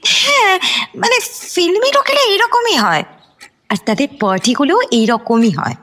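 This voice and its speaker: An actress playing a brash young go-getter stylist in a radio drama, sassy and impatient, mixing in English words. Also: female voice, very high voice, expressive, moderate pace, pushed and bright, heavily acted.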